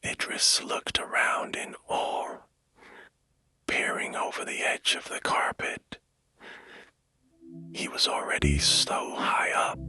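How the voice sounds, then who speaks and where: A man narrating a bedtime story in a hushed, gentle and soothing manner, taking his time. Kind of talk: slow, whispered bedtime storytelling. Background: music.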